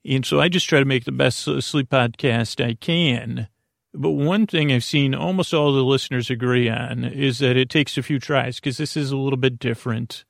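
A man with a creaky voice hosting a sleep podcast, delivering a meandering, halting bedtime monologue that trails off into tangents. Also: mid-range voice, no room sound, studio quality. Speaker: podcast host.

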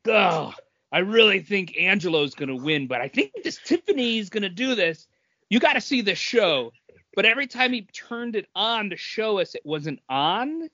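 A man's voice: sits high, big pitch swings, normal speed, pushed and bright. A man chatting casually as a podcast host, telling anecdotes and acting out what people said. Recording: dull, echoey room sound.

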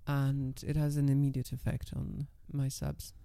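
A middle-aged woman guest with a naturally low, calm and level voice, speaking in a measured, self-assured way. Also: smooth. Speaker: guest, professional dominatrix. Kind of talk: casual interview talk.

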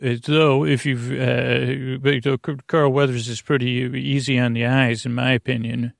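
A man hosting a bedtime podcast in a creaky, lulling voice, meandering through halting asides and false starts. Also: mid-range voice, little pitch movement, no room sound, clean studio sound. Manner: soothing, lulling. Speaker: podcast host. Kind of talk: rambling bedtime podcast monologue to lull listeners to sleep.

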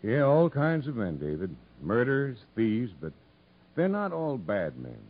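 An actor playing a grave but kindly prison captain, measured and straightforward, in a 1950s radio drama. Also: male voice, medium pitch, wildly swooping pitch. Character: grave, frank prison captain recalling a story, kindly toward children. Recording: muffled 1950s radio recording.

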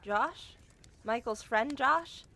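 An earnest young witch in an audio drama. Her voice is high and bright, and she speaks with emphatic, exasperated urgency. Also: lively intonation, takes it slowly, full character voice. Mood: indignant, baffled. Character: flustered, earnest young woman witch warning trespassers.

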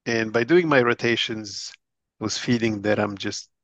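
A man's voice: lowish voice, normal speed, no room sound. A middle-aged man from Lebanon, a doctor and company head, explaining his views earnestly in a conversational interview with many restarts. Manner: earnest, animated explainer with frequent restarts and laughs. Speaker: interview guest, physician and company chief.

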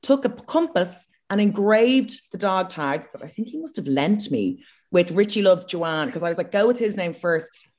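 A woman's voice: on the low side, very animated, normal speed, hardly any pauses, a little mellow. Frank, crude Irish woman chatting. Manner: frank, crude and self-mocking. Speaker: podcast co-host. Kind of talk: casual comedic chat.

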